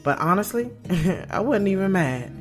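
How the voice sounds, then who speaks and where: A woman's voice: medium pitch, very animated, unhurried. A woman playing a guarded, blunt young woman author in an audio drama, speaking frankly and with slangy sass about her love life. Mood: reflective, frank. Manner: candid, slangy, sassy. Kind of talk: first-person audio drama narration and dialogue. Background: music bed.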